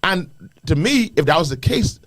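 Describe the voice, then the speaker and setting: A Bahamian man co-hosting a radio panel talk show, giving animated opinions with many restarts. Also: fairly high pitch, normal speed, no room sound, rough recording. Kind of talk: casual radio panel discussion.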